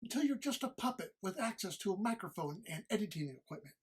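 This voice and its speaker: A woman playing a suave male devil in an audio drama read-through, speaking formally and deliberately with smug, arch menace. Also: medium pitch, sing-song, husky. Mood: arch needling. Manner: formal, deliberate, archly sinister phrasing. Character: Old Scratch, suave devilish male fiend, smugly menacing.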